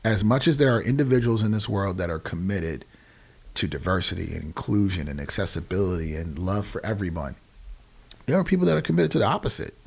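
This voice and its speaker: American guy in his 40s, lowish voice, explaining stuff emphatically on a podcast, keeps checking you follow. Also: lively intonation, a little fast, gravelly, husky. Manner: emphatic, often checks in with "right?". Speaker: podcast guest, nonprofit founder. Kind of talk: conversational explaining in an interview.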